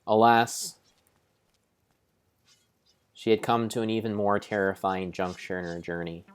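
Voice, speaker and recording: Deep-voiced man, mock-dramatic storybook narration. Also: measured pace, smooth. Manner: theatrical, solemn, grandiose. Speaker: streamer narrating his game play.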